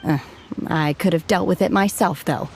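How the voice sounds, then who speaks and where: Cool, detached fighter, soft and composed, lowish voice, game music loud behind. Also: female voice, normal speed, creaky. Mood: calm. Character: calm, aloof young woman warrior with a grim streak. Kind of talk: game voice acting. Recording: music underneath.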